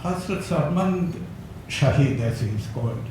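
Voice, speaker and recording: An older man giving a conference talk, hesitant with many fillers and soft chuckles. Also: a little high, animated, measured pace. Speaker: academic speaker. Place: lecture hall. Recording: echoey hall, distant mic, noisy.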